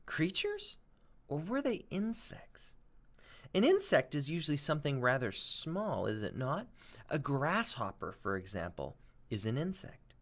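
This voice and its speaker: A man reading aloud as a teacher, expressive and dramatic, with emphatic pauses. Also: fairly high pitch. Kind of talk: expressive storybook read-aloud and lesson.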